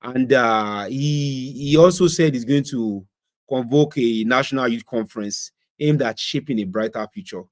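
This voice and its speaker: A man's voice: mid-range voice, moderate pace. A man from Nigeria with a Nigerian accent, hosting a livestream and giving casual political commentary, firing off rhetorical questions and slipping into pidgin. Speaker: livestream host. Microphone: streaming setup.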